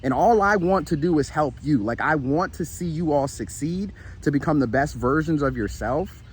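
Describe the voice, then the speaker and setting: A man's voice: a little high, lively intonation, normal speed, slight background noise. A man giving an earnest spiritual pep talk while he walks outdoors in the shade, tired and sweating, often repeating himself and asking "right?". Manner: earnest, repetitive, with frequent "right?" and restarts; walking while he talks. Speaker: spiritual motivational vlogger. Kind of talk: casual encouraging monologue.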